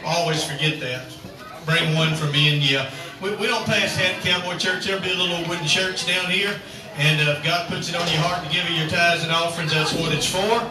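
A man, a cowboy church pastor giving folksy announcements and a sermon outdoors, calling on the crowd to answer. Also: on the high side. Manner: folksy, chatty, calls for crowd response. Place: outdoor rodeo arena at sunset. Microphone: far camera across the arena. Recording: echoey outdoor arena from far off, noisy.

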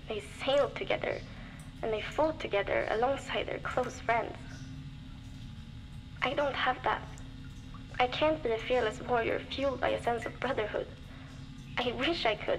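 An actress playing a young woman survivor, reflective and determined, recording a measured audio diary for a video game. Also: a little high, gravelly, poor audio. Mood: wistful, reflective. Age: young adult.

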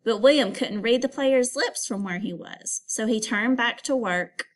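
Female primary teacher, voice a bit high, expressive and instructive read-aloud for kids. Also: a little slow. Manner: expressive storytelling, instructive, addresses pupils with questions. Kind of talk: read-aloud lesson for children.

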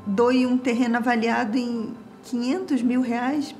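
An actress playing a sharp, wry businesswoman who questions every detail. Her voice sits a little high. Faint film music runs beneath. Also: steady tone, measured pace, close to an everyday voice.

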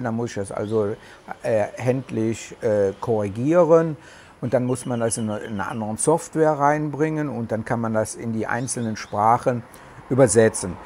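Middle-aged man, regional German dialect, chatty and halting, lapel mic on an outdoor terrace, faint ambience behind. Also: mid-range voice, darkish tone, slight background noise. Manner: rambling, many hesitations, chatty. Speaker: vlogger. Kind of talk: casual talk to camera. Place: covered terrace outdoors in the tropics.

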